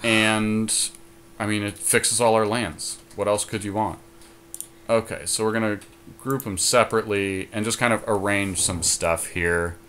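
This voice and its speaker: A man's voice: lowish voice, fairly even intonation, a little slow, a bit bright. A man co-hosting a podcast, casually talking through his choices in a card game. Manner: crude joking. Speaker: podcast co-host. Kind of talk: casual game commentary while drafting a card deck. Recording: noticeable background noise.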